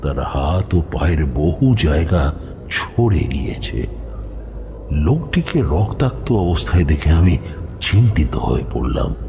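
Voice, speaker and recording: A man playing a grave tantric mystic who recounts his eerie past in a horror audio story, ominous and deliberate, with dramatic pauses. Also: rumbling low, sing-song, gravelly, background noise, full character voice. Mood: grave, suspenseful.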